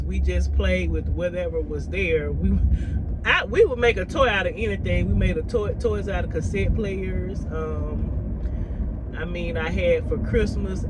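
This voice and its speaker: A middle-aged man reminiscing casually as a storyteller, in an unhurried, rambling way that circles back over what was said. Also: medium pitch, heavy background noise. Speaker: storyteller sharing childhood memories.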